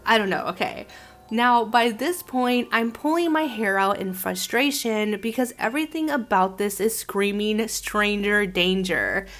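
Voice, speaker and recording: A woman giving scripted video commentary in a conversational storytelling way, with expressive emphasis. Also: mid-range voice, a little slow. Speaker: host reviewing an animated horror film. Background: background music.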